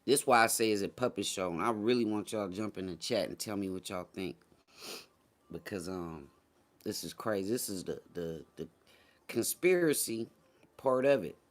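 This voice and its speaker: A man's voice: fairly low pitch, normal speed. A man hosting a livestream, giving casual political commentary to his chat in slangy, profane, conversational talk and repeating phrases for emphasis. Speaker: livestream host.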